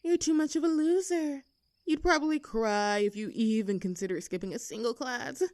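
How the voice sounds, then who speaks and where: A woman acts out a young mean-girl bully in an audio drama, her voice on the high side. She is sarcastic and haughty, teasing and taunting with a secret soft spot. The sound is close and dry. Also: no echo. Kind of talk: scripted roleplay audio drama.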